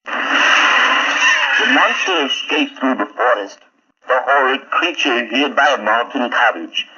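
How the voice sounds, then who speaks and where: A man's voice: mid-range voice, measured pace, sharp tone, slightly rough, lo-fi sound. A man narrating a scripted children's horror story dramatically, on a vintage 1970s recording.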